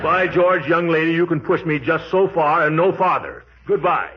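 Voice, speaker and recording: An actor playing a pompous, excitable middle-aged small-town bachelor in a 1940s radio comedy. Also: male voice, on the high side, moderate pace, a loud crowd, very noisy, close to an everyday voice. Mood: indignant. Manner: blustering, indignant, chuckling. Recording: dull 1940s radio recording.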